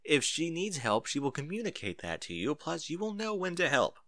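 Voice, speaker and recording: A man's voice: fairly high pitch, wildly swooping pitch, brisk, pushed and bright, voice pushed up, full character voice. A man voicing an earnest, know-it-all pony in a story, explaining things emphatically. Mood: earnest, advising.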